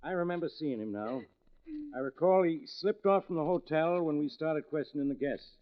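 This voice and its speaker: An actor playing a small-town sheriff in a 1940s radio drama. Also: male voice, on the high side, normal speed, lightly acted. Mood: soothing, weary. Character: small-town sheriff, protective, then gasping and mortally wounded. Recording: dull vintage 1940s radio recording.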